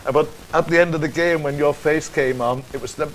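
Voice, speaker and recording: A man's voice: a little high, steady tone, brisk, low-quality recording. A man hosting a casual chat, jovial and rambling, laughing easily with his guests. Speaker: host.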